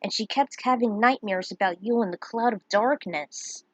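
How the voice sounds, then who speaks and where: A woman's voice: medium pitch, normal speed, no room sound, muffled recording, lightly acted. A woman voicing a young nobleman, a friendly and concerned buddy to the hero.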